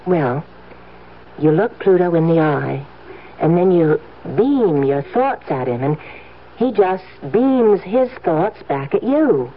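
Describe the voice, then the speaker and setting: A woman's voice: fairly low pitch, expressive, slow. An actress playing a doting, naive rich wife in a 1970s radio drama, girlishly sweet, cooing and earnestly coaxing. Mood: earnest, insistent. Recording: dull, hissy 1970s radio broadcast.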